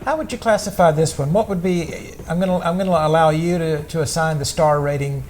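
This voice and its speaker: A man's voice: on the high side, fairly even intonation, fairly quick, a little mellow. A middle-aged man hosting his own travel vlog, chatting casually and playfully with his father indoors. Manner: chatty, playful, asks questions. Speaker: vlog host. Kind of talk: casual chat.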